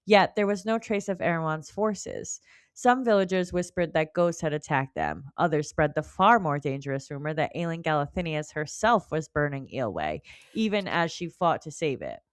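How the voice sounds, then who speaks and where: A woman's voice: sits low, lively intonation, moderate pace, dry recording, studio quality. A woman co-hosting a book club podcast, speaking in an informal, colloquial way as she chats and recaps. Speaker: podcast co-host. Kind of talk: casual book club chat with read-out chapter recap.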